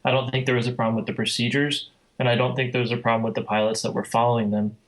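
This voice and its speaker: A man with an average-pitched, very even voice talks as a guest on a podcast. He explains things in a conversational, informal way, with little rise and fall in his tone. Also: racing, poor audio. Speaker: guest, air traffic controller and flight sim enthusiast. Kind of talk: podcast interview, explanatory talk.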